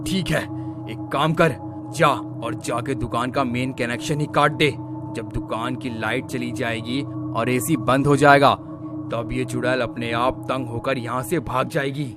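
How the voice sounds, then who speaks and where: A man's voice: medium pitch, close to an everyday voice. A man playing an indignant, scheming shop owner in a cartoon story, commanding and scolding. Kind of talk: Hindi cartoon story acting.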